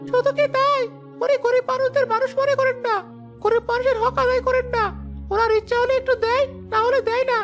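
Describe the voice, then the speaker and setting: An outspoken poor villager in a cartoon, voiced by a woman. The voice is extremely high and rattles along quickly with little melody, over faint music. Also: flat delivery. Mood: indignant. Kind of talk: Bengali moral cartoon dialogue.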